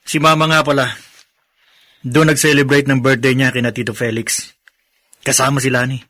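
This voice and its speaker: A Filipino actor playing a suspicious, grudging relative in a radio drama, needling and gossipy. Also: male voice, medium pitch, flat delivery, normal speed, bright, forceful tone, dry recording, studio quality, lightly acted. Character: suspicious, grudging male relative worried about inheritance.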